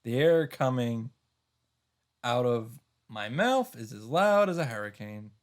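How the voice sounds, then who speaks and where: A man's voice: mid-range voice, big pitch swings, measured pace. A man reading visual novel narration aloud as a let's player, dropping in casual, wry asides. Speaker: video game let's player. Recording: music behind the voice.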